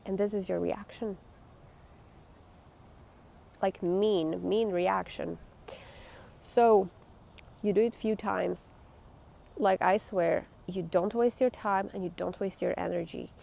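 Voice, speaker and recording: Blunt woman with a gravelly voice. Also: medium pitch, steady tone, takes it slowly, pauses often, mellow. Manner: blunt, emphatic and swearing. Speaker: vlogger. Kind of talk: candid talk to camera.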